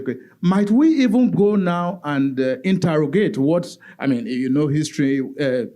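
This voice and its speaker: A man speaking on an academic conference panel, halting with frequent fillers and restarts. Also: a little high, dark tone. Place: conference hall.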